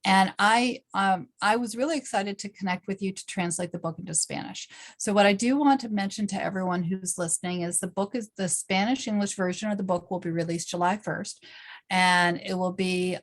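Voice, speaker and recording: Woman hosting an online talk show, upbeat and earnest, lots of ums. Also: medium pitch. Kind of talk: interview host.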